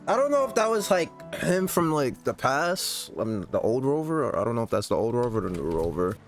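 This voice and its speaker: A man streaming a casual live gameplay reaction, speaking in halting, repetitive, slangy bursts. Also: mid-range voice, very animated, soft background music.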